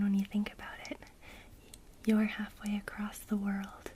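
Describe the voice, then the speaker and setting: Slow whispering woman, soft and mellow. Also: medium pitch, slight background noise, rough recording. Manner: slow, gentle, intimate. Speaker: witch teacher. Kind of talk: ASMR whispered roleplay lesson.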